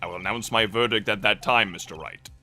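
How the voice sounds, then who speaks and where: A man voicing a stern but easily puzzled judge in a game fandub, speaking formally and deliberately. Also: on the low side, pushed and bright, faint music behind. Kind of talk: video game fandub character acting.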